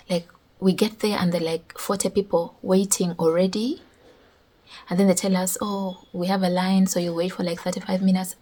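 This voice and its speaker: A young woman from Uganda, chatting casually and telling animated stories as a vlogger, with a husky voice. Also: fairly low pitch. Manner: animated storytelling with laughs. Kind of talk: casual chat.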